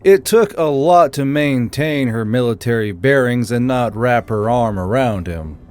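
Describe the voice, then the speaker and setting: A man's voice: mid-range voice, expressive, measured pace, a little mellow. A man reading scripted fantasy story narration as the narrator. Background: background music.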